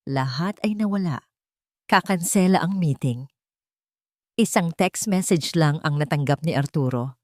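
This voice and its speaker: Low-pitched woman narrating a dramatic story. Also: darkish tone, dry recording, clean studio sound.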